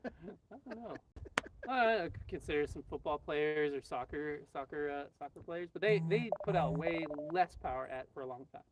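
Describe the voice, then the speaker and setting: A man's voice: fairly high pitch, expressive, moderate pace. Rambling, jokey man, slightly distant mic. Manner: rambling, jokey, thinking aloud. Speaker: livestream guest and radio hobbyist. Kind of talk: casual live chat. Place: outdoors in a quiet spot with birds. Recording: off-mic, faint background noise.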